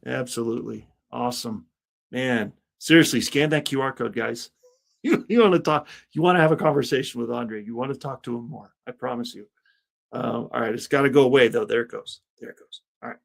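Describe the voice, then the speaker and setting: A man's voice: mid-range voice, vocal fry, a bit bright. A man hosting an interview podcast, upbeat and chummy, often calling his guest "man.". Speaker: podcast host.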